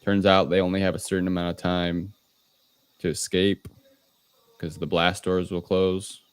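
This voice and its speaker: Very deep-voiced, dry-sounding man chatting. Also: level tone, normal speed, smooth, no echo. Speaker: podcast co-host. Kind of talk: casual film review chat.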